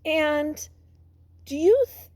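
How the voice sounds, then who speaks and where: Woman teacher doing a storytime read-aloud, really high animated voice, dry close sound, keeps asking the kids stuff. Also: dry recording. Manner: pauses to question and prompt listeners. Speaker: teacher reading a picture book aloud to children. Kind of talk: read-aloud lesson with questions to young children.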